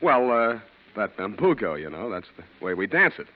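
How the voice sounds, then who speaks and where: A dull, old radio recording of a hard-boiled American private eye. He is breezy and glib, his pitch swinging about as he hems and slides into playful excuses. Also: big pitch swings, moderate pace. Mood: evasive. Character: wisecracking hard-boiled male private detective, breezy and evasive. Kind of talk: 1940s radio acting.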